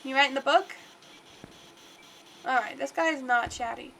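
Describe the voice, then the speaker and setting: A woman's voice: sits high, moderate pace, gravelly, sharp tone, a little hiss or hum, low-quality recording. A congested woman livestreaming, chatting casually and rambling through gameplay commentary. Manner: chatty and rambling, talks to the game aloud.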